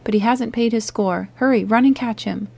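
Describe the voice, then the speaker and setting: A loud, bluff one-legged pirate landlord bellows and cajoles in salty sea talk, as a woman reader puts him on. The voice rides a touch higher than plain narration, with a gravelly edge. It is an audiobook character reading. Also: normal speed. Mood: indignant. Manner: loud, blustering, sailor slang. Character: hearty one-legged male pirate innkeeper, blustering.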